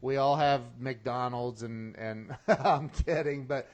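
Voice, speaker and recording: A man's voice: medium pitch, slow, highs cut off, a crowd in the background. Rambling, chuckling podcast host man. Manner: rambling, many restarts, chuckles at his own jokes. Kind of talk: casual interview chat.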